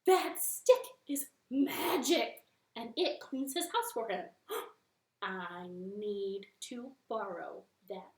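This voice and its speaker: A woman's voice: a little high, animated, extremely slow, a little mellow, roomy sound. A woman voicing a lazy, indignant male trickster spider in a storybook tale, talking in emphatic exclamations. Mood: amazed. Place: living room.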